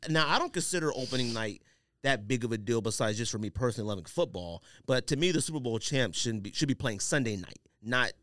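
A man's voice: mid-range voice, expressive, fast talker, fairly bright tone, no room sound, clean studio sound. A man co-hosting a casual sports podcast, giving opinions in a blunt, slangy way.

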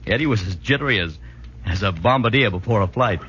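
An actor playing an American army doctor who narrates a 1940s radio drama in a measured, expressive storytelling way. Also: male voice, on the low side, slight background noise. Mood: reflective. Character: genial American army doctor recounting his friend's story. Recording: dull vintage radio recording with music.

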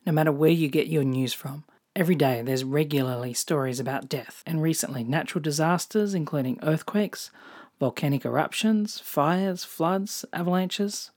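A podcast host with an Australian accent, reading a reflective personal monologue in a measured way with touches of dry humor. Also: mid-range voice, a little mellow.

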